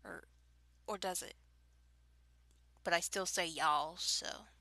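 A young woman with a Southern drawl talks in a loose, rambling way, breaking into giggles and tripping over her own sentences. Her voice is bright and forward, and she speaks very slowly. The recording is close and dry, with no room sound. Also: lowish voice, vocal fry, dry recording. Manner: giggly and rambling, with many restarts. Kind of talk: casual chat recorded alone.

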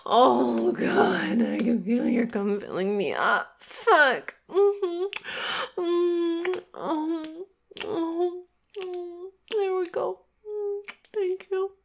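Girl doing a clingy obsessive girlfriend, high voice, giggles and whispers, slow and drawn out. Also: gravelly, crowd noise, full character voice. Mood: breathless. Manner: giggly, coaxing, often hushed to a whisper. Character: obsessive possessive young woman lover. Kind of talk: intimate audio roleplay.

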